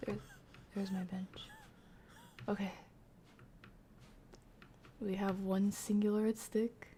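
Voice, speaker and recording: A woman doing casual live commentary on a horror game, talking to chat in slang and thinking out loud as she reacts. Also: mid-range voice, little pitch movement, slow, a little hiss or hum. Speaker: game streamer.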